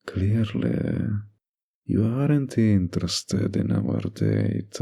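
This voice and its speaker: Man playing a slow, husky flirty mafia boss. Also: low voice, huge pitch swings, mellow, full character voice. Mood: teasing, smug.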